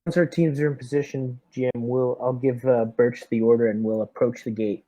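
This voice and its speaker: A man playing a tabletop roleplaying game as squad leader, giving deliberate, military-style orders. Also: mid-range voice, fairly even intonation, mellow, dry recording, lo-fi sound. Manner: deliberate, military briefing phrasing. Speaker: tabletop RPG player leading the squad as lieutenant.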